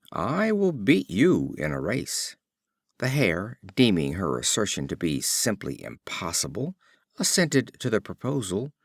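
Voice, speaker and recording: A man reading short fables as an audiobook narrator, with measured, expressive storytelling phrasing. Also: fairly low pitch, dry recording, studio quality. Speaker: audiobook reader.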